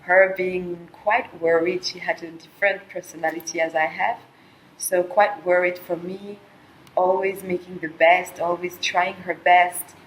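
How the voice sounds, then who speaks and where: A middle-aged woman from Colombia speaks in a lower register, soft and halting, with many small pauses and fillers. She talks in a reflective, personal monologue, and a faint hum of ambient noise sits under her voice. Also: flat delivery, rough recording. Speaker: vlogger sharing a personal experience.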